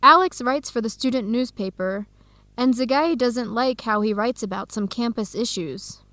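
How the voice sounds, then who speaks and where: An actress playing an earnest young Ethiopian-student in a radio drama. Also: female voice, fairly high pitch, moderate pace, bright, forceful tone, no room sound. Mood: explaining, defensive. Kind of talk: radio drama acting, simulated video call.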